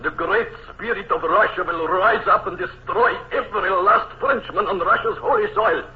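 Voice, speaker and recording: An actor playing a bold, patriotic Russian general who makes grand declarations in 1940s radio drama style. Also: male voice, high-pitched, moderate pace, natural voice. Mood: rousing. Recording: dull old radio broadcast.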